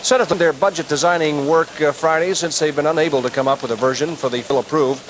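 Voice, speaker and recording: An American man with a fairly high voice reads the news as a TV anchor. The sound comes from an old 1980s broadcast tape with faint noise. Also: steady tone, fast, low-quality recording. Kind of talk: news reading.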